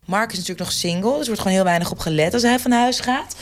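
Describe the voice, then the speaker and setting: An actress playing a chatty, exasperated parliamentary stylist in a mock radio report, gossipy and informal. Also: female voice, medium pitch, fast, close to an everyday voice. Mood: gossipy exasperation.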